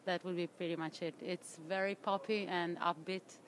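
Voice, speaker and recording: Singer from the old ussr, a bit of noise around. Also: female voice, lowish voice, level tone, moderate pace, rough recording. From Soviet Union. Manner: slightly non-native English. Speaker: band singer. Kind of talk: casual interview chat. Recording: background noise.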